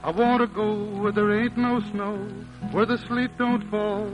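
A man's voice: high voice, level tone, measured pace, highs cut off, music in the background. A man playing a genial, folksy hobo schemer in a 1940s radio drama with folk singing. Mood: easygoing singing.

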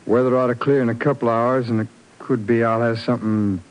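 A man's voice: mid-range voice, fairly even intonation, fast talker, lightly acted. A man acting a breezy, wisecracking airplane pilot in a 1950s radio drama, talking in jaunty folksy slang. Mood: breezy, guarded. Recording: very noisy old radio broadcast.

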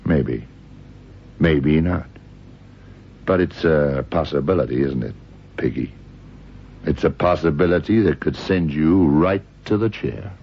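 Very deep-voiced tough detective. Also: male voice, measured pace, creaky, long pauses, full character voice. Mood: accusing. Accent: American. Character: tough, shrewd, sardonic male American police detective. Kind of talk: old radio crime drama acting. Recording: muffled, noisy old radio drama recording.

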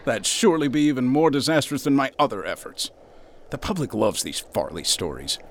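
An actor playing a gloomy, haughty young writer with ornate speech in a radio drama. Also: male voice, mid-range voice, big pitch swings, a little fast, slight background noise. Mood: sour. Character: grumpy, pompous young male writer. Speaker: struggling author.

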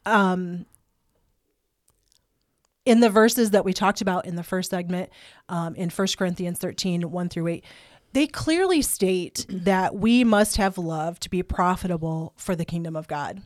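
A woman's voice: on the low side, a little slow, dry recording, clean studio sound. Chatty older woman podcast host. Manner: chatty, laughs and jokes, talks through a cough drop. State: has been sick, clears throat.

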